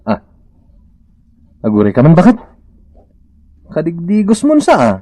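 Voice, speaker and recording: Wildly swooping, comic Ilocano husband. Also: male voice, a little high, wildly swooping pitch, unhurried, mellow, exaggerated voice. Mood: amazed. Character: astonished, teasing husband admiring his changed wife. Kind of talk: Ilocano comedy radio drama acting.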